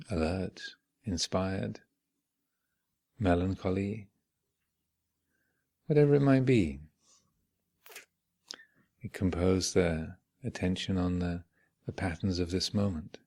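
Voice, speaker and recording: A man leading a guided meditation as a teacher, speaking in measured, contemplative short phrases with long reflective pauses. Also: sits low, a little mellow. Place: meditation retreat hall.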